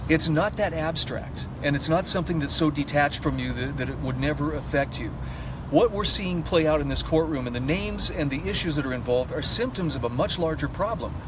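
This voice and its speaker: A man's voice: a little high, lively intonation, fairly quick. A man giving an informal spoken news update with opinion, explaining things earnestly. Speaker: court trial commentator giving updates. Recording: clear outdoor street and traffic noise.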